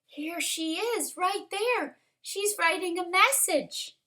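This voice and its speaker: A woman reading a picture book aloud to young children, expressive and dramatic, speaking like a teacher who pauses to ask questions. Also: very high-pitched. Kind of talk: animated storybook read-aloud for young children.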